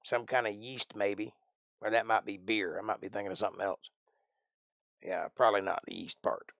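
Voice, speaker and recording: Gravelly rambling man in his forties. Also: fairly low pitch, steady tone, normal speed, fairly bright tone, dry recording, clean studio sound. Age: 40s. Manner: rambling, folksy, thinks aloud. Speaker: live stream host. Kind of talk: casual chat answering live chat.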